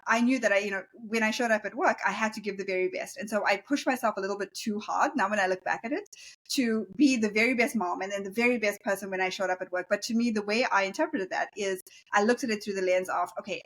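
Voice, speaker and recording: Casting: South African woman, leadership coach, rapid reflective talk, earnest. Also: medium pitch, a bit bright, low-quality recording. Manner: earnest, reflective, many fillers. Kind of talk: conversational interview.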